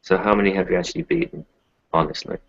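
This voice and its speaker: A blunt, envious tough guy, acted by a man at a game table, very low and full of gravel. He sounds wary and sardonic. The sound is close, dry and dull. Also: fairly even intonation, quick pace, no room sound. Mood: blunt, incredulous. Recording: muffled, dry close recording.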